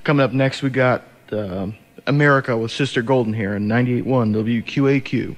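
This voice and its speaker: A man's voice: mid-range voice, fairly quick, over loud music. A young man hosting as a college radio DJ, chatting casually between songs in a sleepy, hesitant, rambling way on an over-the-air recording. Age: young adult.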